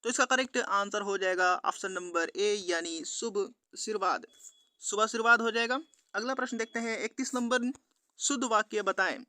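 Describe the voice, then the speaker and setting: A man's voice: high-pitched, bright, forceful tone, clear, resonant tone, no room sound, studio quality. A man presenting an exam-prep quiz lesson, reading out questions and answers in an instructional, repetitive way to viewers. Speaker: exam-prep teacher on a study channel.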